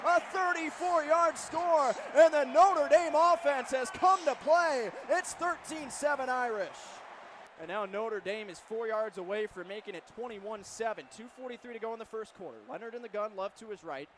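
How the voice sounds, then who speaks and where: A man's voice: very high voice, moderate pace, a bit bright. A man doing live radio play-by-play of American football, narrating the action in clipped present-tense phrases. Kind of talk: live play-by-play sports commentary. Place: football stadium broadcast position. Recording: faint stadium background noise.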